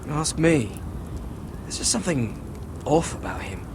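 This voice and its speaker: A blunt young watchman from a fantasy game. His delivery swings up and down with suspicion and wry needling. Clear noise sits behind him. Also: mid-range voice, big pitch swings, normal speed. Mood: wry, suspicious. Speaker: voice actor in a game. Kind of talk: dramatic game dialogue.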